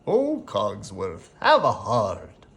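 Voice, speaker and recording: A courtly, kindly enchanted candelabra from a storybook, played by a male reader. It welcomes with drawn-out words and French flourishes. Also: on the low side, wildly swooping pitch, creaky, fairly bright tone, exaggerated voice. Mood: welcoming.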